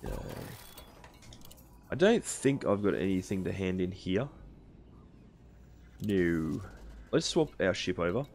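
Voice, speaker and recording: A man's voice: low voice, very animated, takes it slowly, long pauses, darkish tone, slight background noise. A young Australian man casually chatting with his live-stream viewers while playing a space game. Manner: chatty, answering chat viewers between game actions.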